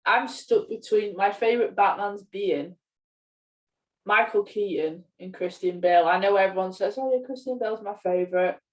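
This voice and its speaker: A woman's voice: medium pitch, moderate pace. A young woman chatting casually as a podcast co-host. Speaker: podcast co-host, media student. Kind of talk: casual film podcast chat. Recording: roomy echo, distant from mic.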